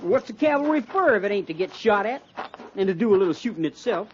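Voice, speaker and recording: An actor playing a restless, belligerent cavalry private with a rustic American drawl in a 1950s radio western. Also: male voice, high-pitched, animated, fast talker. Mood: restless, scornful. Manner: rustic drawl, surly, complaining. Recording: muffled, noisy 1950s radio recording.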